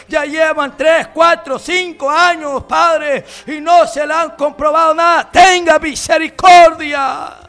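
A man prays fervently with an extremely high-pitched voice. He pleads in short, repeated exclamations at a slow pace, in the style of Pentecostal intercession. Faint music plays behind him. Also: fairly bright tone. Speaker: prayer leader.

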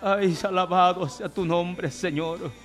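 A man's voice: high-pitched, steady tone, slow, a bit bright. A male preacher leading a fervent Pentecostal healing prayer, pleading and exclamatory, with repeated cries of praise. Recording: music playing behind the voice. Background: music.

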